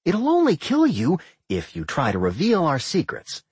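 A narrator voicing an eager, blunt slime creature in a fantasy audiobook, guileless and matter-of-fact, with a husky voice. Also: medium pitch, wildly swooping pitch, moderate pace, a little mellow, no room sound, pitched above the performer's own voice, exaggerated voice. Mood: calm, unapologetic.

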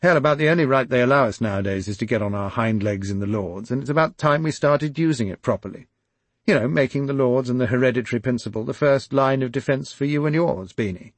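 A man's voice: mid-range voice, fast, no echo, pitched above the performer's own voice, natural voice. A man voicing an aggrieved, blustering older aristocrat who speaks. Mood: rousing. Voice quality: indignant, blustering.

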